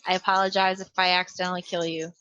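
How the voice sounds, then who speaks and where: A woman's voice: fairly low pitch, little pitch movement, very fast, a bit bright, smooth, no echo, lo-fi sound. A woman chatting casually as a player in a tabletop roleplaying game.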